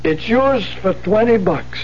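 High-pitched shifty crook shopkeeper. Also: male voice, expressive, a little slow. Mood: casual, wheedling. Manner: streetwise, folksy slangy grammar, hemming. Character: shifty, wary small-time shopkeeper fencing stolen goods. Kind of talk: 1970s radio drama acting. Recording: muffled, noisy 1970s radio recording.

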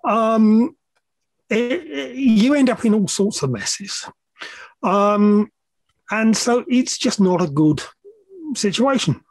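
A man's voice: sits high, lively intonation, slow pace, long pauses, no room sound. A middle-aged man explaining technical matters as an interview guest, hesitant at times, with plain-spoken asides. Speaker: podcast guest, loudspeaker measurement engineer.

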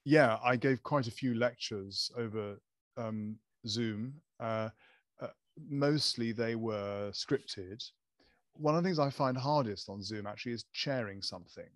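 A man's voice: mid-range voice, measured pace, no echo. Male guest, stammers, hesitant, mid voice. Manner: stammers, with hesitant fillers and repeated words. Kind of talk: casual conversation.

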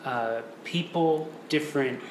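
A man's voice: a little high, slow pace, background noise. Affirming man, echoey room. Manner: affirming, frequent amens and short backchannels. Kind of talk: faith conversation.

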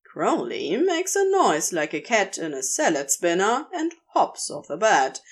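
Slow, very expressive woman storyteller. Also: fairly low pitch. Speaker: narrator, amateur audio fiction reader. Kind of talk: expressive scripted story narration.

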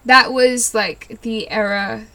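A woman's voice: fairly high pitch, steady tone, slow pace, sharp tone, rough recording. A young woman from California chatting on her solo podcast, rambling with many fillers and frequent laughs. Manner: rambling, giggly, lots of likes and you knows. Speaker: podcast host. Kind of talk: casual solo podcast chat.

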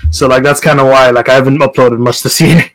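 A man's voice: medium pitch, fairly even intonation, fast, fairly bright tone, low-quality recording. Casual man with lots of fillers. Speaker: YouTuber. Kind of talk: casual group chat.

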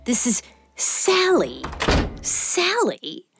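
Mocking crime boss, high swooping voice. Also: female voice, huge pitch swings, music in the background, heavily acted. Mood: mocking, commanding. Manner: taunting, theatrical, drawn-out delivery. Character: menacing, mocking female crime boss running a poker game. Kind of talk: audio drama acting.

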